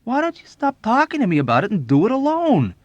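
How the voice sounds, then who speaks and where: A man performs a sardonic young bombardier from a war novel who wants no part of trouble. He is exasperated and emphatic, with a pitch that jumps around, recorded very dry. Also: lively intonation, normal speed, no room sound, lo-fi sound, close to an everyday voice. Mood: protesting.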